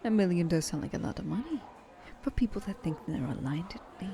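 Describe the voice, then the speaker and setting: A woman acting as an ancient, wealthy female vampire in an ASMR roleplay. Her voice is low and soft-edged, very mellow, as she coaxes the listener like a flirtatious, patronizing mentor and often slips toward a whisper. Noticeable noise sits behind her. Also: lively intonation, fairly quick. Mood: persuasive. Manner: coaxing, intimate, dropping often into breathy whispers.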